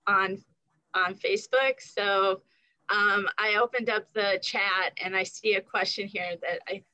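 A woman's voice: medium pitch, fairly even intonation, unhurried, sharp tone, rough recording. A woman on an online panel, taking over as moderator and reading audience questions aloud. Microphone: video call.

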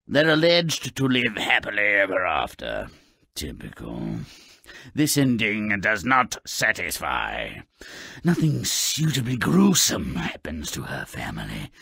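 Game narrator: a mean little fairy-tale grouch, harsh and husky, wildly animated, florid and sneering. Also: male voice, on the low side, takes it slowly, no room sound, studio quality, full character voice. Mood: contemptuous. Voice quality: raspy, gravelly. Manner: theatrical, sneering, florid delivery. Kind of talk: scripted video game narration of twisted fairy tales.

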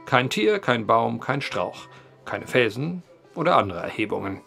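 An actor playing a wry male journalist who tells an eerie old tale with deliberate phrasing in an audio drama. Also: medium pitch, big pitch swings, creaky, fairly bright tone, soft background music, full character voice. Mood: measured storytelling. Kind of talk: German audio drama acting, storytelling.

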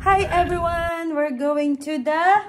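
High-voiced, unhurried woman vlogging. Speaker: family vlogger, mother. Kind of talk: casual family vlog chat. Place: at home, in a moving car and outside. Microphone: phone at arm's length.

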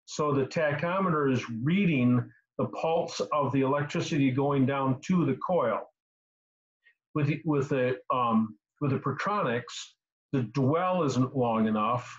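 An older man in his sixties hosts a casual technical question session over a video call. He explains in a halting way, often repeating a word before going on. His pitch is middling and the sound is slightly dull. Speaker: host answering car repair questions. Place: small home room indoors. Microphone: computer mic on a video call.

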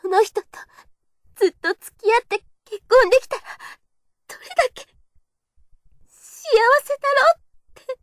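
A woman acting a very high-voiced earnest girl. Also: expressive, no echo. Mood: emotional, longing. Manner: soft, hesitant, slow confessional delivery. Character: shy, earnest young kendo girl recounting her life. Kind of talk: audio drama monologue.

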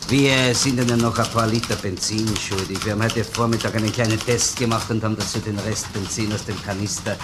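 An actor playing a gruff, sardonic Viennese police investigator in a colloquial crime radio play. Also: male voice, fairly low pitch, steady tone, fairly quick, hardly any pauses, very noisy, natural voice. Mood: probing, casual. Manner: colloquial, sardonic, keeps tagging sentences with "ne?". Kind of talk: German-language crime radio play acting.

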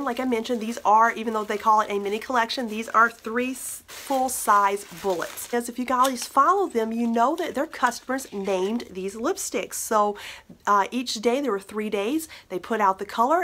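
A woman's voice: fairly high pitch, moderate pace, fairly bright tone. Chatty woman with a nasal cold. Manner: chatty and upbeat, with many fillers and small laughs. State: congested with a cold, slightly nasal. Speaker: beauty vlogger. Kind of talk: casual chat to camera. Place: bedroom, indoors. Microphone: camera at desk distance.

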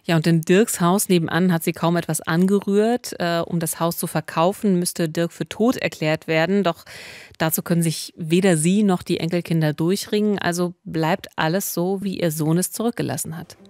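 A woman's voice: on the low side, steady tone, moderate pace, darkish tone, no room sound, studio quality. A woman in her thirties co-hosting a true-crime podcast in a conversational storytelling style. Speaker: podcast co-host. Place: small recording studio. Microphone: close studio mic with pop filter.

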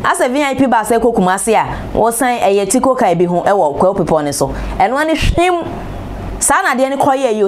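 A woman in her thirties with a Ghanaian accent, presenting entertainment gossip from a studio chair in an animated, exclamatory way, firing rhetorical questions. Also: mid-range voice, slight background noise. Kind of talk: gossip commentary, mixing Twi and English. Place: studio, seated on a large throne-like chair. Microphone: lapel.

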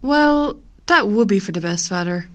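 A woman's voice: mid-range voice, animated, moderate pace, lo-fi sound, close to an everyday voice. A woman playing a polite, earnest Irish parishioner in an amateur radio drama.

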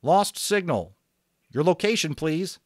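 A man's voice: a little high, animated, a little slow, no echo, clean studio sound, pitched above the performer's own voice. A man voicing an adult male radio operator, speaking in clipped, procedural radio talk. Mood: businesslike.

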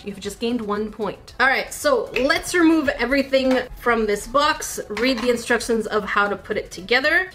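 Animated woman vlogger unboxing a kit. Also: on the high side. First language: French. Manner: chatty and animated, with sudden excited squeals and muttered asides. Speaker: craft kit reviewer. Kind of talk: casual unboxing vlog. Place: home studio at a desk.

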